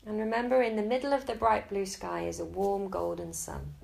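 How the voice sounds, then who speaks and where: A woman guiding a Yoga Nidra relaxation in a slow, soft and soothing way. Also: medium pitch, big pitch swings, vocal fry. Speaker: meditation guide.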